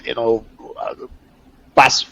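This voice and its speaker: A man's voice: slow pace, a bit bright, dry recording, rough recording. A man from Brazil with a Brazilian accent and Portuguese as his first language, answering casually in an interview, repeating words as he searches for them. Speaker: interview guest, jiu-jitsu athlete.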